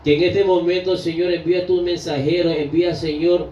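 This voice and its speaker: A man's voice: a little high, little pitch movement, normal speed, dark tone, a little hiss or hum. A middle-aged man leading a spontaneous, pleading prayer, calling on the Lord after nearly every phrase, with frequent restarts. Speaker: prayer leader. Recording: roomy, distant, dull lo-fi sound.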